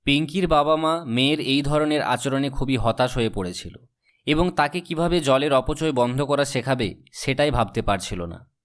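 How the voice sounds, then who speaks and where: A man with a medium-pitched voice narrates a cartoon tale. His delivery is scripted storybook narration.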